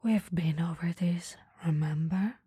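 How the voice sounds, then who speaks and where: Breathy, whispery flirty female boss, acted by a woman. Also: on the low side, measured pace, no echo, clean studio sound. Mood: caring, matter-of-fact. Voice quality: breathy, soft. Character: caring, flirty, easily flustered female boss. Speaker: ASMR roleplay performer. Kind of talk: ASMR roleplay, soft-spoken and often whispered. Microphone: close microphone, dry.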